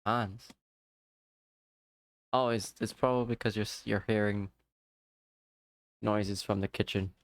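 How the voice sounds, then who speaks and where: A man chats casually through a live game stream, talking to himself and his viewers as he plays. His voice is smooth and resonant. It is recorded close and dry on a desk microphone. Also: fairly low pitch, fairly even intonation, a little slow, long pauses, no echo. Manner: chatty, talks to himself and to chat viewers. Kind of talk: casual live-stream chat while gaming.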